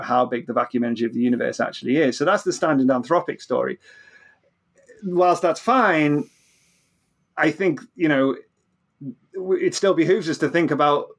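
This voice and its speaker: A man explaining things as an interview guest, often stammering and repeating the start of his phrases. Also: medium pitch. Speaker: physicist guest. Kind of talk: animated explanatory interview talk.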